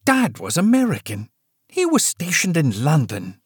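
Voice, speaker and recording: A man's voice: on the high side, huge pitch swings, unhurried, breathy edge, dry recording, clean studio sound, pitched above the performer's own voice, heavily acted. A man voicing a shaken adult daughter who speaks urgently and pleadingly, in a storybook reading.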